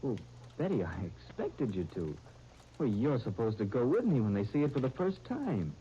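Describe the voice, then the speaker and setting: A man's voice: mid-range voice, big pitch swings, warm tone, lightly acted. An actor playing an eager, earnest young American man who trips over his words, in a 1930s radio drama. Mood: let down. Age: young adult. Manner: halting, stammering over words. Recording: muffled, noisy 1939 radio broadcast recording.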